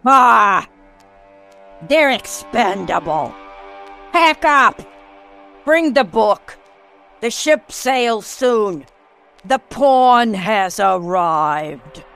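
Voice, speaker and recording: A man's voice: high-pitched, expressive, very slow, gravelly, long pauses, bright, forceful tone, clean tone, soft background music, heavily acted. A man playing an imperious, scheming villainous mother in an old-time radio drama, grand and commanding.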